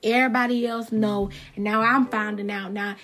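A woman's voice: medium pitch, fairly even intonation, moderate pace. A thirty-year-old woman hosting her own podcast, talking casually and emphatically and repeating her points for effect. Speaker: podcast host. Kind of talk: casual solo podcast talk.

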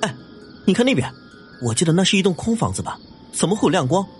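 Suspicious night-patrol policeman: officious, fast, animated, radio drama with music bed. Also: sits high. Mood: wary. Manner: brisk, officious, questioning. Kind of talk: audio drama acting.

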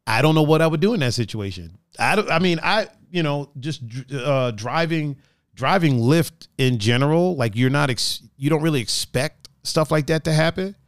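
Raspy-voiced laid-back man chatting. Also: fairly high pitch, lively intonation, moderate pace, dry recording, studio quality. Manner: laid-back, profane, joking; reads out chat comments. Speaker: podcast host. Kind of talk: casual chat.

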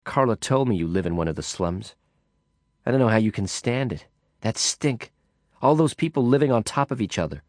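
A man's voice: fairly low pitch, expressive, normal speed, no room sound, clean studio sound, close to an everyday voice. A man voicing a brash, hard-drinking woman in an audiobook, blunt, profane and sneering. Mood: drunk chatter. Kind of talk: audiobook character voice.

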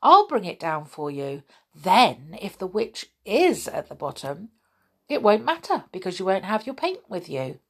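A woman's voice: mid-range voice, sing-song, a little slow, fairly bright tone, full character voice. A woman voicing a bossy, exasperated little male fairy-folk rescuer in a children's story, emphatic and impatient. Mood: helpful.

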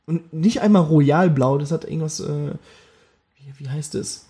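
Casual podcast co-host, man, slightly high, husky voice, rambling and jokey. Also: a little slow, mellow. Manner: rambling, jokey, trails off mid-sentence. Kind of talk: casual chat.

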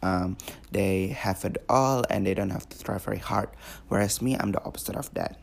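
Teen male podcaster from Indonesia, gravelly voice, loose rambling delivery. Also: on the low side, moderate pace. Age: teens. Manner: rambling, many fillers and repeated words. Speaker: podcast host. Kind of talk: casual solo podcast.

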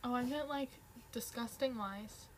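A woman with a somewhat high voice chats casually as a podcast co-host. She rambles playfully and drifts from thought to thought without much of a plan. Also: a little slow, a little hiss or hum. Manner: rambling, playful, jumps between silly topics. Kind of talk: casual chat.

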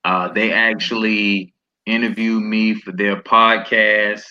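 A man co-hosting a podcast, introducing guests in a relaxed, casual way. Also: lowish voice, takes it slowly. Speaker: podcast co-host. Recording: dull lo-fi line.

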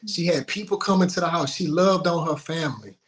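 A man telling personal stories casually as an interview guest, in an informal, halting, colloquial way. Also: a little high, a bit bright. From Houston, Texas, United States.

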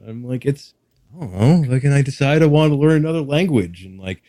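A man's voice: mid-range voice, expressive, quick pace, dark tone, no room sound. A man from Texas chatting casually as a podcast guest, with a candid, wry, casually profane way of talking. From Texas, United States. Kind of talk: casual interview chat.

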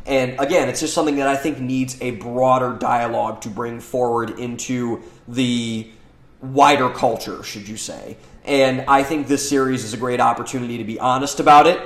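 A man's voice: medium pitch, steady tone, normal speed, a bit bright. A young man hosting a solo podcast, eager and rambling with restarts, sounding nasal as he recovers from a cold. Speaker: podcast host. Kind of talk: solo podcast monologue, partly reading his essay. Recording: echoey room.

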